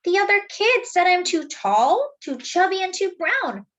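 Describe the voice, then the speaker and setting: A woman voicing a hurt, downcast young schoolgirl from a storybook in halting, plaintive phrases. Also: very high voice, big pitch swings, a bit bright, voice pushed up, heavily acted. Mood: hurt, sad.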